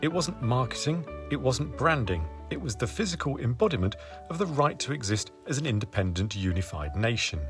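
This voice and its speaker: Male narrator, lively intonation, dry humor, music bed underneath. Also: mid-range voice, brisk. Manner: dry, wry asides. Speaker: video host. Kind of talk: scripted narration. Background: background music.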